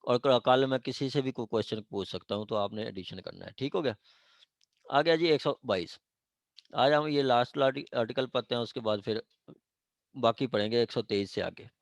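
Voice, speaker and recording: A Pakistani man teaches a class in an informal, didactic way. His voice sits at a middle pitch and he keeps pausing to check understanding. The sound is dry, with hardly any echo. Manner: didactic, frequent check-in questions to students. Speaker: law lecturer. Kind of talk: informal classroom law lecture mixing Urdu and English legal terms.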